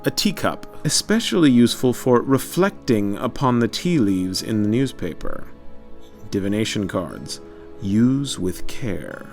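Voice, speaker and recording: Low, expressive male narrator. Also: a little slow, gravelly, faint music behind. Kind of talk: scripted walkthrough narration.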